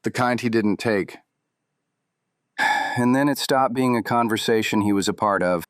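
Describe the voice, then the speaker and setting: Low-voiced, guarded man played by an actor. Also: dry recording, studio quality, close to an everyday voice. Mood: ominous warning. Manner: terse, measured, ominous. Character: guarded, weary man warning two investigators. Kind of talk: noir audio drama acting.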